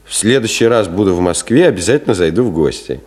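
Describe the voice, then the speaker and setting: An actor playing a gentle, wistful middle-aged teacher with a hesitant, teasing, colloquial way of talking in a 1970s Soviet radio play. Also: male voice, sits low, lively intonation, quick pace. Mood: curious, wistful.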